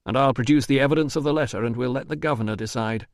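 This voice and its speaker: A man voicing a calm, dry-witted male investigator in an audiobook, level and sardonic. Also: mid-range voice, rapid-fire, no echo, natural voice. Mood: cool. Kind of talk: audiobook dialogue.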